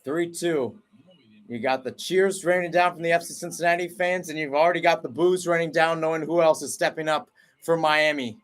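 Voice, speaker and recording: Young man, soccer play-by-play, higher voice, energetic, surges at each kick. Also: a little fast. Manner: energetic, jumps in pitch and volume at each kick. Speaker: radio play-by-play announcer. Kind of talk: excited sports commentary. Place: at home, not at the stadium.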